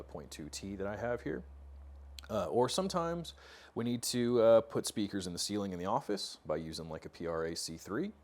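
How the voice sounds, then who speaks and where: A man's voice: low-pitched, a little mellow. A man in his thirties with an American accent, hosting an informal instructional talk to camera and explaining things casually. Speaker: host of a product tech talk series. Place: indoor workshop studio with metal cabinets.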